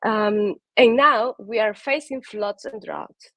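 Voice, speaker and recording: A woman's voice: a little high, slow, a bit bright, no echo. A woman from Peru with a Peruvian Spanish accent, giving an earnest talk on conservation, with frequent fillers and restarts. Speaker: conservation speaker. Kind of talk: prepared talk.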